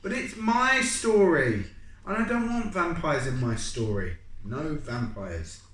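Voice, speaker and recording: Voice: medium pitch, big pitch swings, slow pace, fairly bright tone, pitched above the performer's own voice. Unsure but stubborn young storybook author, read by adult. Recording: echoey room with background noise.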